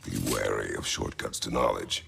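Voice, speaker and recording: An actor playing a stern, battle-worn warrior father in a video game, terse and grave, with a gravelly rumble. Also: moderate pace, fairly bright tone, in a large hall, heavily acted. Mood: stern, resolute. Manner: terse, grave, formal, clipped phrasing. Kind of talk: cinematic video game acting.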